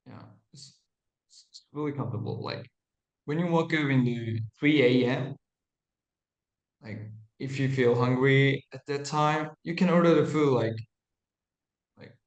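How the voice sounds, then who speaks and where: A young adult man with a Korean accent, a singer and guest answering interview questions in halting English with short replies. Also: mid-range voice, roomy sound, muffled recording. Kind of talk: casual interview chat. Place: radio studio.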